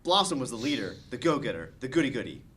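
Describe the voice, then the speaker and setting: A man's voice: medium pitch, big pitch swings, fast, fairly bright tone. A man reading stage directions and narration aloud as the narrator of a comedy script table read.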